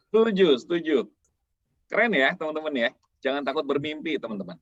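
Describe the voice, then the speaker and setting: A man hosting an online sharing session in casual chat, upbeat and quick to cheer others on over a video call. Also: a little high, lively intonation, no room sound, dull audio. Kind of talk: casual group chat moderation.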